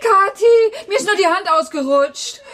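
An actress in her forties playing an anxious teacher and mother in a naturalistic radio crime drama, with a hesitant, emotional delivery and a slightly husky voice. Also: extremely high pitch, animated, measured pace, a bit bright, a little hiss or hum, full character voice. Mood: distraught, pleading. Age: 40s. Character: anxious forty-year-old female teacher and single mother.

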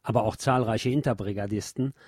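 A man reading scripted documentary narration in a measured, solemn, literary manner. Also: lowish voice, darkish tone, no room sound, studio quality.

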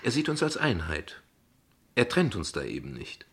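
A man playing an evasive, ironic father in a radio play, speaking wordily and with hesitations, in a husky voice. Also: fairly low pitch, huge pitch swings, moderate pace, heavily acted. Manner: wordy, sardonic, deflecting, with hesitations. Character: evasive, ironic, verbose father defending his unconventional family. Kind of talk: dialogue radio play acting in standard German.

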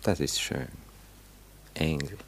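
A charming, idle Viennese bachelor in a radio play. His voice is low, and he courts with gallant, teasing irony and a streak of self-pity. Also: slow pace, vocal fry, fairly bright tone, slight background noise, heavily acted. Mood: sheepish, playful. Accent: Austrian, Viennese. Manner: gallant, teasing, languidly ironic. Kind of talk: German radio drama dialogue.

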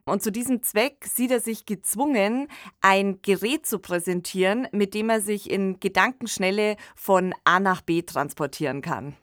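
A woman's voice: mid-range voice, normal speed, no room sound, studio quality. A woman hosting a podcast, presenting a scripted summary and addressing her co-host.

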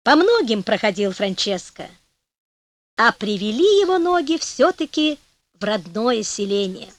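Woman narrator, fairy-tale audiobook, expressive, very lively melody, high voice. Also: a little slow, no room sound. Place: recording studio.

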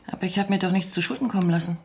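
A woman's voice: fairly low pitch, racing, darkish tone, a little hiss or hum, natural voice. An actress playing a cool, seductive female spy under interrogation in a radio drama, poised, teasing and defiant. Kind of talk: German radio drama acting.

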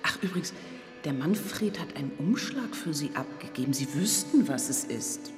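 An actor playing a gloomy, chatty prison employee weary of confinement in a German radio drama. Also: male voice, a little high, animated, moderate pace, natural voice. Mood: curious. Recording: echoey hall with long reverb, music behind.

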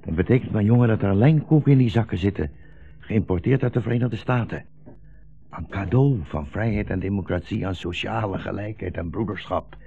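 Deep creaky old man, weary wry rabbi, solemn, vintage radio drama. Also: brisk. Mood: dryly ironic. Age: older. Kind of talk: 1960s radio play acting. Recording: dull 1960s radio recording, music behind.

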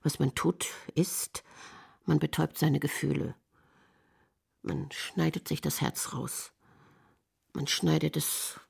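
An actress playing a haunted woman confessing uncertain memories in an audio drama monologue, halting and intense. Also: sits low, gravelly, dark tone, slightly rough, heavily acted. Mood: numb, grim. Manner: halting, intense, self-correcting.

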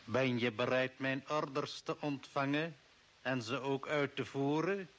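A man's voice: mid-range voice, a little slow, a bit bright, natural voice. An actor playing a cold, authoritarian old man from Earth who serves the Martians in an older Dutch radio play, commanding and scornful. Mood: commanding, controlled. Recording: hissy, noisy old radio recording.

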